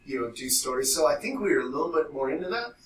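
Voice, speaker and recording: A man co-hosting a podcast in casual, rambling chat, telling things in an animated way and swearing freely. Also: fairly high pitch, fast. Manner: animated storytelling, frequent swearing. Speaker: podcast co-host. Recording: roomy echo, distant mic, faint noise.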